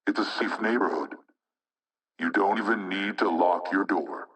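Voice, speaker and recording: A man's voice: low-pitched, a bit bright. Ominous, distant hacktivist spokesman. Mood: mocking menace. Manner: measured, rhetorical, insinuating. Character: ominous anonymous male hacktivist spokesman, preaching and menacing. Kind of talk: scripted propaganda broadcast in a video game.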